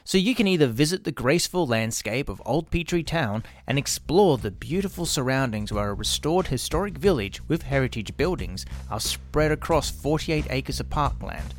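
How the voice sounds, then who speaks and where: A man's voice: mid-range voice, animated, normal speed, few pauses. A middle-aged man with an Australian accent, reading scripted promotional narration as a podcast host. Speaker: podcast host and drama workshop creator. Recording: music behind the voice. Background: music.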